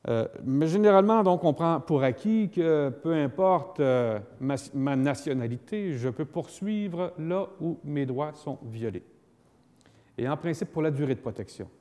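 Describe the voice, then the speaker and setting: A man's voice: on the high side, lively intonation, measured pace, dark tone. Quebec man lecturing in an echoing hall. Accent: Quebec French. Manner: didactic, acts out dialogue, emphatic repetition. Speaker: lawyer giving a lecture. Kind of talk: public lecture on law with spoken examples. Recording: long echoing hall reverb.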